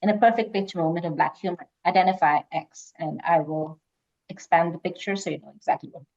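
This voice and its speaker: A woman with a fairly low voice works as a quiz host. She reads out questions and moderates informally, over slightly dull, lo-fi call audio. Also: a little fast, a little mellow.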